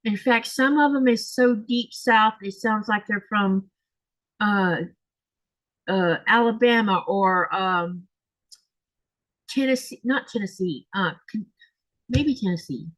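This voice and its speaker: A woman's voice: mid-range voice, slow pace, pauses often, no echo, low-quality recording. A woman with a Southern US accent, the host, chatting casually while she sews. She rambles, corrects herself and uses lots of fillers. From Florida, US. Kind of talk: casual chat while sewing, reading comments. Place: home craft room.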